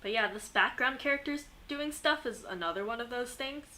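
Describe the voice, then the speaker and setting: A woman chatting casually and enthusiastically as she plays a video game, excitable and giggly in manner. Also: on the high side, fairly quick, slight background noise. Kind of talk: casual gameplay commentary, chatting.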